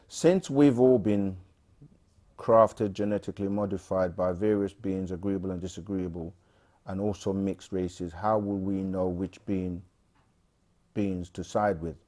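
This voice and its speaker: A man co-hosting a casual livestream, reading viewer questions aloud and answering them with frequent pauses and fillers. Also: deep voice. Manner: relaxed, frequent "um" and restarts, reads questions aloud.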